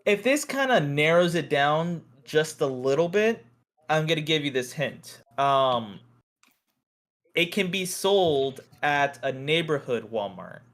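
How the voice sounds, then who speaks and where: A man's voice: fairly high pitch, sing-song, slow, a bit bright. A man chatting casually as he runs trivia questions. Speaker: trivia quizmaster on a chat show. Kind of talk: casual chat.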